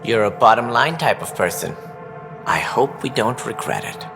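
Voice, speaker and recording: An actor playing an earnest male colony director in a video game, speaking deliberately about hard decisions. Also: mid-range voice, expressive, gravelly, big-room sound, over music.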